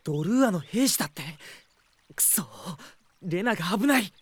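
An actor playing a brash, excitable young male thief in a Japanese radio drama, speaking informally. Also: mid-range voice, normal speed, breathy edge. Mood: alarmed. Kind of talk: Japanese anime-style radio drama acting.

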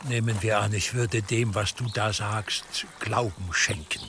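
An actor playing an imperious, slyly menacing church dignitary in a medieval radio drama, speaking deliberately and with condescending insinuation. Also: male voice, fairly low pitch, fairly even intonation, sharp tone, a little hiss or hum. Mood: sceptical. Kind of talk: German radio drama acting in a medieval setting.

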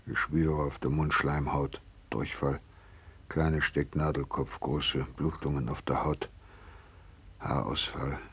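An actor in a 1960s radio drama playing a gravely ill, devout doctor, husky and creaky, speaking slowly and solemnly with resigned fervour. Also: male voice, very deep voice, a bit bright, full character voice. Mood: grave, weary recollection. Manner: slow, solemn, resigned, fervent. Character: gravely ill, devout, selfless male Japanese doctor nearing death.

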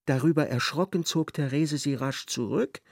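A man's voice: fairly high pitch, moderate pace, creaky, no room sound, clean studio sound. A man narrating a literary radio drama. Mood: measured.

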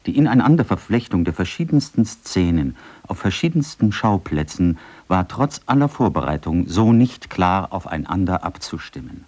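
A man's voice: low-pitched, very animated, moderate pace, few pauses, a little mellow. A man reading first-person accounts and quotations from a script for a radio feature.

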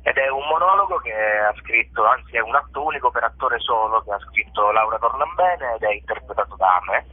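A man's voice: medium pitch, animated, brisk, hardly any pauses, breathy edge. Phone-line man, enthusiastic but hesitant interviewee. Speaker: stage actor being interviewed. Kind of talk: casual radio interview.

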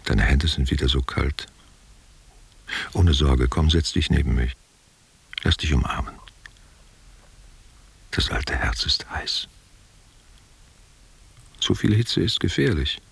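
An actor playing an older, world-weary theatre man in a literary radio play, raspy, tender and probing, speaking in theatrical questions. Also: rumbling low, animated, slow pace, long pauses, in a whisper, full character voice. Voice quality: raspy, gravelly.

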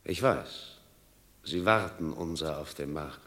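An actor playing a wary, plotting Roman tribune in a classic radio play, deliberate and conspiratorial. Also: male voice, very deep voice, fairly bright tone, heavily acted. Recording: echoey radio drama studio.

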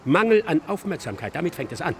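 An actor playing an excitable, self-absorbed male scholar in a German radio drama, lecturing insistently and theatrically. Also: on the high side, fast talker, gravelly, slight background noise. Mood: pedantic, self-important. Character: excitable, self-absorbed male scholar expounding his dark ghost-ship thesis.

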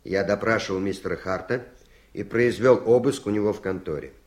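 A man's voice: lowish voice, a little fast, natural voice. A man acting a veteran police investigator giving formal, factual testimony in a radio play. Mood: matter-of-fact. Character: matter-of-fact male police investigator, veteran witness.

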